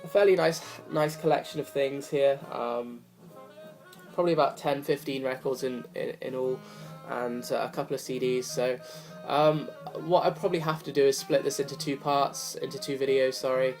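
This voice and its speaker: A young man talks in a relaxed, wandering way, full of ums and restarts. His voice is slightly rough from a cold. Quiet jazz plays in the background. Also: medium pitch, moderate pace. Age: young adult. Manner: rambling, apologetic, many fillers. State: hoarse from a cold. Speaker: vinyl collector vlogger. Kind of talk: casual chat to camera. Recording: faint music behind the voice. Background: jazz record playing softly.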